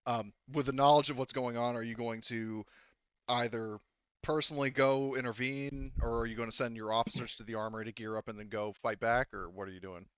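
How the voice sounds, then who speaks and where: A man runs a tabletop game in an informal, chatty way. His voice is bright and smooth, though the recording is a little dull. He narrates and prompts players as game master. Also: medium pitch, fairly even intonation, brisk, no echo. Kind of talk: casual tabletop roleplaying narration.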